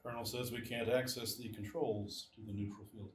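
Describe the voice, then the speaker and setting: An actor in a live script reading playing an irate, scheming, self-important official who is indignant and demanding. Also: male voice, on the low side, little pitch movement, brisk, close to an everyday voice. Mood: wary, conspiring. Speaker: actor in a live screenplay table read. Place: small live theatre stage. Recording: distant, echoey live theatre recording with noise.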